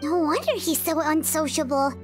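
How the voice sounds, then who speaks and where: Tiny squeaky game fairy sidekick, super high n bouncy, eager. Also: female voice, expressive, moderate pace, soft background music. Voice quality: squeaky, childlike. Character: small, bubbly, childlike fairy companion. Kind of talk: video game voice acting.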